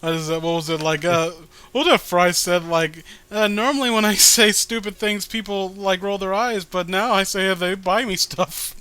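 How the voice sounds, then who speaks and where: A man's voice: high voice, steady tone, normal speed, few pauses, sharp tone, clear, resonant tone, no echo. A man giving casual, rambling and profane commentary as he co-hosts a read-along. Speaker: co-host of a comic read-along video.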